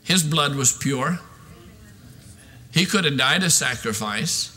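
A man in his sixties preaching a fervent sermon in an emphatic, declamatory way with drawn-out stressed phrases. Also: a little high, animated, pushed and bright. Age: 60s. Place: large church sanctuary, at a pulpit. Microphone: podium microphone. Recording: reverberant hall sound.

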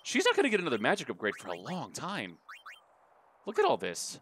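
Animated man doing sweary game commentary. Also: medium pitch, a little fast, a little hiss or hum. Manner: crude, sweary, excitable reactions. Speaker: let's play host. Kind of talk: casual gameplay commentary. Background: video game audio.

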